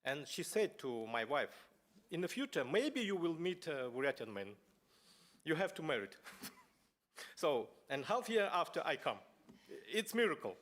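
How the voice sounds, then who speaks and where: Halting man giving a conference speech. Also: a little high, sing-song, a bit bright. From Buryatia, Russia. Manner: halting, many fillers and restarts, non-native phrasing. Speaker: conference speaker. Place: parliament conference hall.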